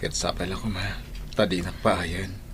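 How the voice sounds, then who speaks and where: An actor playing a remorseful young father in an Ilocano radio drama, speaking earnestly and haltingly with emotion. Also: sits low, little pitch movement, noisy background, close to an everyday voice. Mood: remorseful, pleading. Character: remorseful young father pleading for a second chance. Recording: music bed behind the voice.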